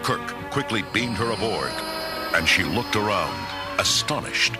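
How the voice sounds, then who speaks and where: A man's voice: lively intonation, measured pace. A man narrating a children's adventure storybook from a script as its narrator. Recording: narration over very loud music, rumbling effects.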